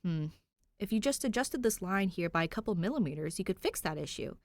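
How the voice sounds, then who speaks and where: A woman's voice: mid-range voice, lively intonation, brisk, a little mellow, dry recording, natural voice. A woman voicing a sunny, friendly young man with an easygoing big-brother manner, in fan fiction dialogue. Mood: thoughtful. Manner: easygoing, good-natured.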